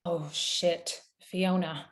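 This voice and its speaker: A woman's voice: fairly low pitch, fairly even intonation, extremely slow. An actress playing a shocked, urgent woman held hostage in a dramatic table read.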